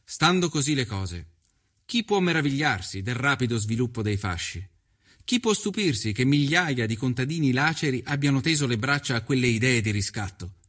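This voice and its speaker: An earnest journalist of the 1890s recounts his travels as scripted documentary narration. His delivery is measured and literary, with a middling pitch for a man. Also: a bit bright. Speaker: voice actor narrator.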